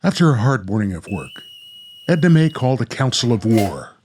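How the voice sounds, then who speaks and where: A man's voice: medium pitch, big pitch swings, normal speed, a little mellow, a little hiss or hum. A man hosting and narrating a radio play in a theatrical, dramatic storyteller style. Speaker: host and narrator.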